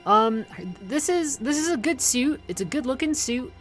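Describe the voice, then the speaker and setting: A woman's voice: high-pitched, expressive, normal speed, fairly bright tone, faint music behind. A woman doing casual livestream game commentary, chatting informally with viewers and rambling into playful asides. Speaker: livestream host playing a video game.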